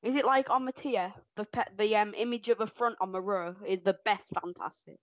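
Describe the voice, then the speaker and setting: A woman co-hosting a casual podcast, excitable and quick to laugh. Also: mid-range voice, no echo, muffled recording. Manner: excitable, laughs often, animated outbursts. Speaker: podcast co-host. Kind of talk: casual chat ranking wrestlers.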